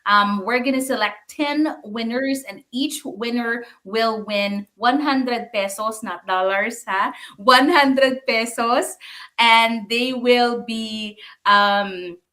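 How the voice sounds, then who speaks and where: A woman's voice: on the high side, takes it slowly, bright, forceful tone. A Filipino woman whose first language is Bisaya, chattily hosting a live talk show with a Filipino accent and some Tagalog mixed in. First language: Bisaya (Waray). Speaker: radio show host.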